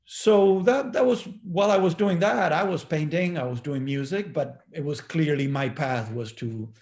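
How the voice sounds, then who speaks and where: A man's voice: fairly high pitch, normal speed, darkish tone. A middle-aged man with an Argentine accent, a native Spanish speaker, looking back reflectively and searching for words as he goes. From Buenos Aires, Argentina. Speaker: interview guest. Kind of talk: reflective personal recollection.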